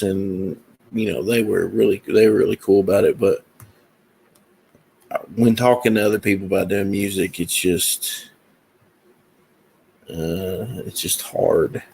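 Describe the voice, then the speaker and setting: Low gravelly man rambling casually. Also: darkish tone, slight background noise, poor audio. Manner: rambling, meandering, with many fillers. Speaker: musician and comic creator. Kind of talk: casual talk to camera.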